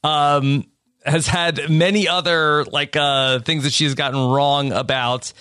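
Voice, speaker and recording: A man's voice: on the high side, fairly even intonation, unhurried, sharp tone, smooth, no room sound, clean studio sound. A man co-hosting a casual podcast, recapping a reality show with hesitant, filler-heavy speech. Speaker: podcast co-host.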